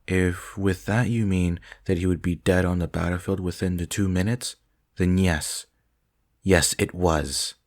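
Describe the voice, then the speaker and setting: Casting: male actor as relentless vampire swordmaster, lower register, clipped orders, formal and severe, for audio drama. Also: steady tone, a little slow. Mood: cold, formal. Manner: curt, commanding, formal. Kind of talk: dramatic audio roleplay acting.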